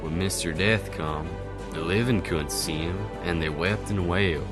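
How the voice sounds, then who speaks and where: Deep-voiced storyteller over music. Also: male voice, normal speed. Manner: folksy, colloquial tall-tale delivery. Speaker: narrator. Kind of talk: folksy scripted storytelling for radio drama.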